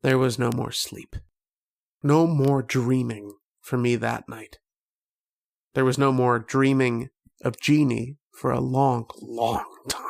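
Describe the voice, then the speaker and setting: A man's voice: mid-range voice, steady tone, slow pace, gravelly. A man playing a haunted, lovesick, war-weary soldier in an old-time radio horror drama, with a brooding, dramatic delivery. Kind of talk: old-time radio horror acting, first-person narration and dialogue. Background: violin music.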